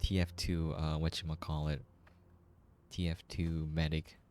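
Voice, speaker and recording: A man's voice: a bass voice, little pitch movement, a little slow, a little mellow. A man giving casual, rambling gameplay commentary as a game reviewer, in a conversational way.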